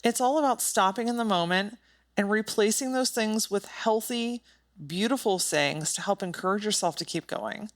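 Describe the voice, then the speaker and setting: A woman's voice: mid-range voice, moderate pace. A woman hosting a solo motivational podcast, speaking in an emphatic, direct and informal way with some casual swearing. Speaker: podcast host.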